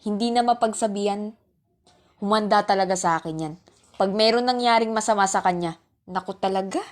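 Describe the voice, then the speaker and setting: A woman's voice: medium pitch, brisk, natural voice. A woman acting a stern, old-fashioned Filipino mother who scolds her children in an amateur radio drama. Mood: exasperated. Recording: music bed behind dialogue.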